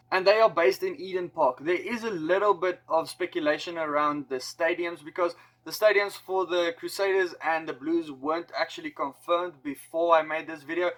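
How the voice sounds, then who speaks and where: A man with a South African accent, talking casually as a rugby vlogger and slipping in joking asides. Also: fairly bright tone. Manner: chatty, joking asides, slightly higher-pitched delivery. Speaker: rugby YouTuber. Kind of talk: casual explainer vlog.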